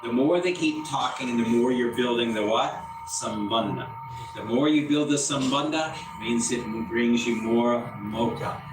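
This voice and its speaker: A man's voice: medium pitch, measured pace, music in the background. A man teaching a classroom lesson, speaking plainly and repeating himself as he drills his students. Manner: repetitive, drills students with call-and-response. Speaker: English teacher. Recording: echoey classroom from far off, noisy.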